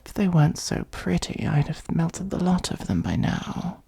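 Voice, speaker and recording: A performer of unclear sex voicing an ancient, haughty dragon in a comedic fantasy ASMR roleplay, with a breathy, husky, gravelly voice and a sardonic, condescending, musing manner. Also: medium pitch, big pitch swings, normal speed, dark tone, exaggerated voice. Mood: absorbed, wry. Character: ancient gold-hoarding dragon, haughty, dryly exasperated.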